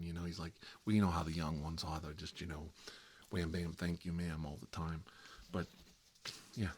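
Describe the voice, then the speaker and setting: A man's voice: low-pitched, a little hiss or hum, lightly acted. A man playing a put-upon, self-deprecating middle-aged uncle in a rambling, folksy ASMR comedy role-play.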